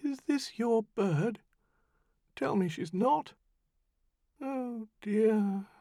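Man doing a tiny demon voice, high, faint, slow, weary, mocking, dry. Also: mellow, no echo, exaggerated voice. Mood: weary sarcastic. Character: tiny faint fading demon in frog form, sardonic.

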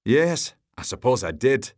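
A man acts out a vain storybook knight, pompous and very pleased with himself. He brags with big swings in pitch, in a dry, close recording. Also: big pitch swings, unhurried, darkish tone, no echo. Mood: self-satisfied. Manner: pompous, bragging. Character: boastful, self-satisfied male knight, triumphant and vain.

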